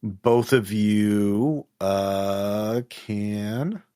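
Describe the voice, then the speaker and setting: A man with a fairly low voice acts as game master for a tabletop horror game. He improvises his narration in a halting way, working out his sentences as he speaks. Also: mellow, smooth. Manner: halting, thinking aloud.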